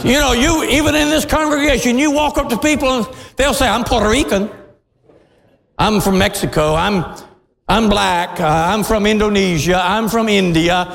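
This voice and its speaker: A middle-aged pastor preaching a sermon with passion, often shouting and laughing as he speaks. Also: high-pitched, normal speed, gravelly. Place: church sanctuary. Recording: long hall reverb.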